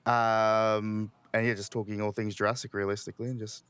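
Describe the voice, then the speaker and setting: A man's voice: on the low side, level tone, fairly quick, fairly bright tone, smooth, no echo. An Australian man chatting casually on a podcast, rambling along in a slangy, laid-back way.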